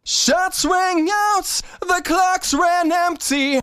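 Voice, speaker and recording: A man's voice: extremely high pitch, drawn-out words, bright, forceful tone, no echo, studio quality. A man singing a rock lead vocal, enunciating sharply with pronounced sibilant S sounds. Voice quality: strong sibilance, crisply enunciated. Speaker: rock singer.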